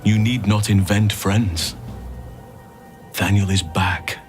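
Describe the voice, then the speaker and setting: A grave, gentle male druid from a video game. His voice is fairly low and very slow, patient and soothing like a father's. Music plays behind him. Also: steady tone. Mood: tender, consoling. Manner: earnest, measured, soothing. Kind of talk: video game character acting.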